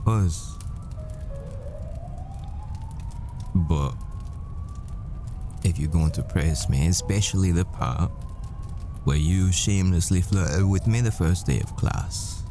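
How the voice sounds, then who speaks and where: A man playing a grieving, devoted professor boyfriend at the world's end in an intimate audio roleplay, speaking in hushed, halting, brooding phrases. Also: sits low. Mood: teasing, tender. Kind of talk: intimate ASMR boyfriend roleplay, dramatic monologue. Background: music.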